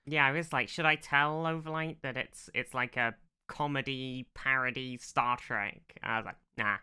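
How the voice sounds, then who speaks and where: A man hosting a podcast with a very bright, forward voice that sounds clean and smooth. He sits at a middle pitch and talks casually and bluntly, often acting out what was said or thought. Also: steady tone, normal speed. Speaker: podcast host. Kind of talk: casual chat.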